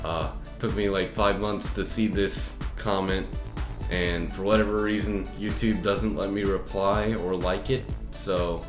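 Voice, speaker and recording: A young man answering viewer comments in a relaxed, rambling vlog chat, with frequent fillers and restarts, filmed on a webcam at his desk. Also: deep voice, measured pace, roomy sound, over music. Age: young adult. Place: bedroom with shelves, indoors.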